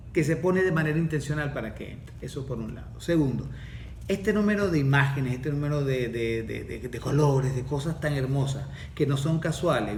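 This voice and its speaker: A man with a Venezuelan accent, explaining in a measured, lecturing way in a podcast and answering his own questions. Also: fairly high pitch, roomy sound, recorded from a distance, slight background noise. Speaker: course facilitator, guest.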